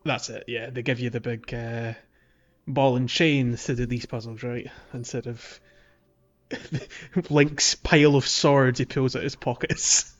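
Laid-back guy cohosting a gaming pod, mid pitch, kinda muffled audio. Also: fairly even intonation, unhurried. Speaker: podcast co-host. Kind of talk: casual chat.

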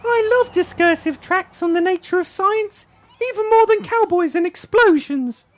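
An adult voicing an excitable young schoolchild in a comedy sketch, with exaggerated childish delivery. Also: extremely high pitch, normal speed. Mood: eager. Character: excitable young schoolchild who loves science fiction telly.

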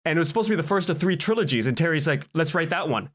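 A man's voice: on the high side, lively intonation, racing, fairly bright tone. A man, a podcast guest telling anecdotes in a casual, self-deprecating way.

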